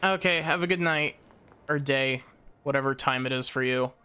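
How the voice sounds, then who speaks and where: A man casually commenting on his gameplay stream, thinking aloud, his voice slightly hoarse. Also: mid-range voice, normal speed, slight background noise. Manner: relaxed, chatty, thinking aloud.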